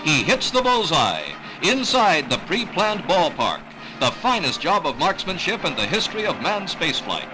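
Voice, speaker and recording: An American man reading scripted 1960s newsreel narration in a dramatic, measured announcer delivery. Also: a little high, big pitch swings, background noise. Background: music.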